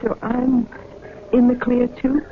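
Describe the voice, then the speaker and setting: An actress playing a hesitant, vulnerable young farm wife in a 1970s radio drama. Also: female voice, fairly high pitch, monotone, slow pace. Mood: tentative. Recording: muffled old radio recording, faint noise.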